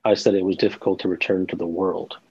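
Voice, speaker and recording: A man answering audience questions in short, blunt, measured replies. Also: deep voice, flat delivery, vocal fry, husky. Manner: blunt, measured, slow and deliberate. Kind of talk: terse question-and-response. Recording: dull lo-fi audio app.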